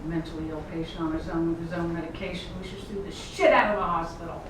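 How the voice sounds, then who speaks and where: A distant, very reverberant recording with clear noise carries an actress as a grieving adult daughter in a play reading. She is a woman who talks quickly and conversationally, blunt yet supportive. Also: lowish voice, steady tone, lightly acted. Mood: troubled. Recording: echoey room, far mic, clear background noise.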